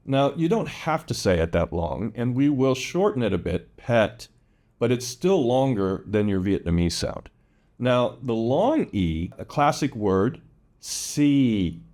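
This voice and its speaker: A man teaching English vowel sounds, modeling each word slowly and repeating it with drawn-out, exaggerated vowels. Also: medium pitch, darkish tone.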